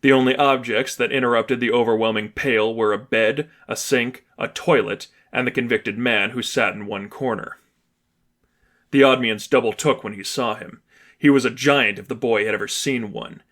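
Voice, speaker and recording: A man narrates a written story. His voice is of medium pitch, delivering scripted prose as an audiobook-style reader. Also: fairly even intonation, normal speed, a bit bright. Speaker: narrator reading fan fiction.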